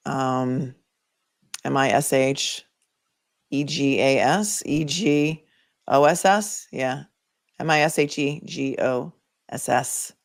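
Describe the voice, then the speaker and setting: A woman's voice: rumbling low, gravelly. A woman with a Boston accent hosting a legal livestream, chatting casually and thinking aloud as she answers viewer comments. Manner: conversational, opinionated, thinking aloud.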